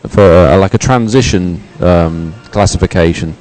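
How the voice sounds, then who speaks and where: A man's voice: deep voice, moderate pace, darkish tone, faint music behind. A man co-commentating live on a sporting event in a conversational, unscripted style.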